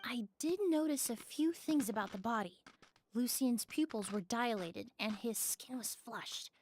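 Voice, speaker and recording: A woman playing a composed young investigator in a game, speaking in a measured, clinical way. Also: a little high, darkish tone, a little hiss or hum, natural voice. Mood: calm, clinical. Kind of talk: video game voice acting.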